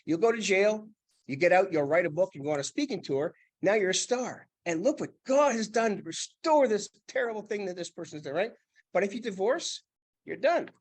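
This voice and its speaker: A man on a panel, an animated and joking storyteller who used to preach. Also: high voice, fairly quick. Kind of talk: panel discussion.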